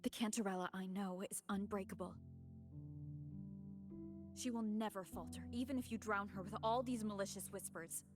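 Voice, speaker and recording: Woman playing earnest young game heroine, mid pitch, resolute and caring, music behind her. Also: moderate pace, long pauses, natural voice. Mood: defiant, firm. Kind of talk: dramatic video game voice acting.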